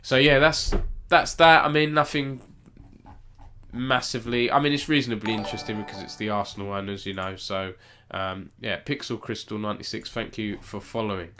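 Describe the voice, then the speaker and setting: A man with a lowish voice and a stuffy, nasal tone from a cold. He hosts a relaxed live-stream news roundup, rambling, correcting himself and chatting with viewers as he goes. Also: brisk, faint music behind. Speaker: esports news host.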